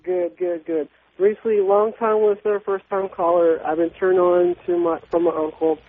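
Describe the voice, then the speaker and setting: A man's voice: sits high, little pitch movement, normal speed. Rambling male caller on a phone line. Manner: rambling, hesitant.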